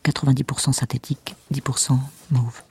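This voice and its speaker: A man playing a wry, deadpan storyteller in a comic police audio drama, speaking in a dry literary way with a husky, gravelly, breathy voice. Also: medium pitch, a little fast. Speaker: narrator. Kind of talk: scripted comic fiction narration.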